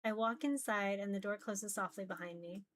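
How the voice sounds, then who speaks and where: A woman's voice: medium pitch, normal speed, fairly bright tone. A woman reading a visual novel aloud as dramatic narration.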